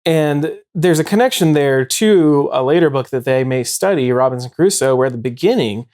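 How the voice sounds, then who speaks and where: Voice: medium pitch, animated, normal speed, darkish tone. A host talking casually about books in an explanatory way, often rephrasing mid-thought. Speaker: show host. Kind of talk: casual book discussion.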